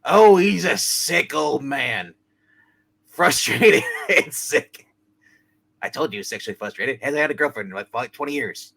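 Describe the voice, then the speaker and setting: A man performs a weary, blustering old father in a comedic radio play reading. The voice is husky and gravelly, and it swings widely in pitch as he grumbles. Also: expressive, measured pace, a bit bright, exaggerated voice. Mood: grumbling. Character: weary, aggrieved older father, hammed-up puppet-show voice.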